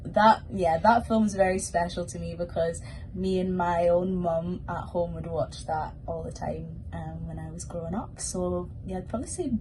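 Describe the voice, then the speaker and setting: A woman's voice: on the low side, fairly even intonation, moderate pace, a little mellow, a little hiss or hum, low-quality recording. A Scottish woman, a pantomime performer, chatting informally as she takes questions from children. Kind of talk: casual spoken question-and-answer for children.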